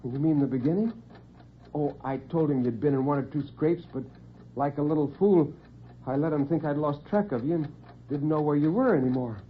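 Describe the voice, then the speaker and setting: A man's voice: a little high, fairly quick, a little hiss or hum, lightly acted. A man voicing a worried, confiding married woman in classic radio drama. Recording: muffled old radio recording.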